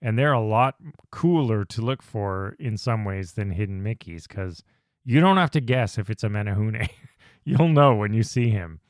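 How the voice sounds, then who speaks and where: A man's voice: lowish voice, lively intonation, normal speed, no echo. Chatty man co-hosting a podcast, dry sound. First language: English. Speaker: podcast co-host. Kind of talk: casual podcast chat.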